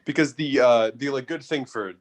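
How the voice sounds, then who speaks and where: A man's voice: medium pitch, moderate pace. A young man, a guest on a casual podcast, who stutters and repeats words with many fillers. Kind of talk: casual conversation.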